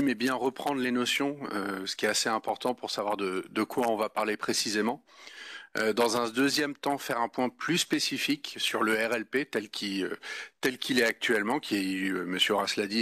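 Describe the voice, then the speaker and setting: A man's voice: medium pitch, fairly bright tone, dry recording, studio quality. A man giving an explanatory presentation in a plain, methodical way. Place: indoor public meeting.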